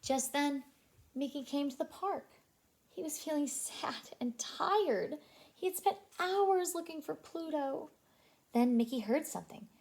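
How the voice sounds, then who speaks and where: A woman reading a story aloud to young children as a childcare storyteller, expressive and animated. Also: sits high, measured pace. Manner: animated, asks listeners questions.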